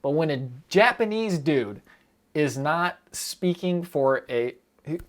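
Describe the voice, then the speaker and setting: A young man chatting casually on a live stream, rambling quickly and breaking off his own sentences as he answers viewers. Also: fairly high pitch, expressive. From United States. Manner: rambling, quick, self-interrupting with fillers and laughs.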